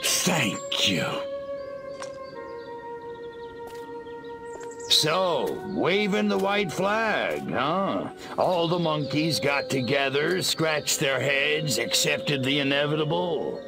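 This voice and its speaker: A man playing a wisecracking, cocky little gray alien invader in a video game, with a sardonic, deadpan manner. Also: fairly high pitch, takes it slowly, gravelly, few pauses, music in the background, full character voice. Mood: mocking.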